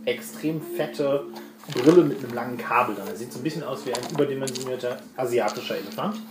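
A man's voice: mid-range voice, fast talker, very noisy. A man narrating a tabletop role-playing game as game master, explaining things informally. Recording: echoey room with music underneath. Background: music.

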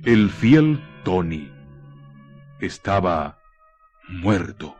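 A man narrating a scripted radio horror drama in a grave, dramatic manner with deliberate pauses. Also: deep voice, sing-song, a little mellow, faint music behind.

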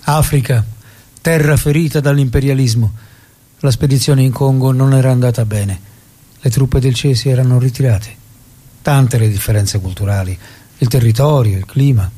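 An actor plays a male storyteller narrating a stage drama. His delivery is solemn and scripted, in a mellow mid-range voice with a husky texture. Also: medium pitch, moderate pace, natural voice. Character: solemn storyteller of a revolutionary's life.

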